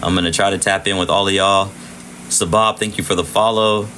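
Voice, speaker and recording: Deep-voiced man hosting a trading livestream, voice going hoarse. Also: steady tone, brisk, slight background noise. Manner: chatty, shouting out viewers, repeats himself. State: losing his voice. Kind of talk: casual live chart commentary.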